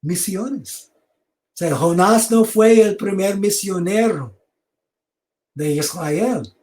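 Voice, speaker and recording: A man giving an online theology lecture over a video call, speaking slowly, repeating words and inviting questions. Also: high voice, darkish tone. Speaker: seminary lecturer.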